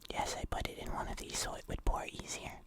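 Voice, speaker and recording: A fully whispered, breathy voice speaks close to the microphone in an ASMR style. The delivery is soft and unhurried, with gentle, casual asides as in a relaxed tasting video.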